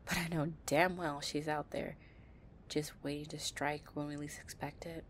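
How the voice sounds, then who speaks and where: A woman's voice: low voice, level tone, normal speed, slight background noise, close to an everyday voice. A woman playing a tough but tender, anxious delinquent girlfriend in an intimate roleplay audio, murmuring breathily. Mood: worried, exasperated.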